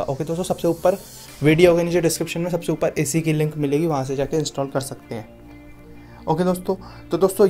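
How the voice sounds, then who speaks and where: A man with an Indian accent giving a casual tutorial voice-over as a video host, often addressing viewers as friends and repeating himself. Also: on the high side. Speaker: YouTube app reviewer, host. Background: background music.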